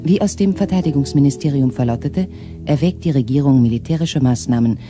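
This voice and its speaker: An actor playing a male radio announcer who reads a special bulletin in a formal, even manner. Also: medium pitch, brisk, over music, natural voice. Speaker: radio newsreader.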